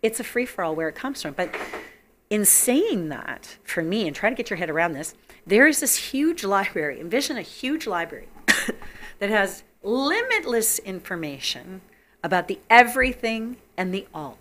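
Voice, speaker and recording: A woman hosts a psychic call-in show in a bright, forward tone. She is a little sick, so her voice comes out husky. She talks chattily and with enthusiasm, stacking repeated words for emphasis. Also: medium pitch, very animated, moderate pace. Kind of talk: casual talk-show monologue.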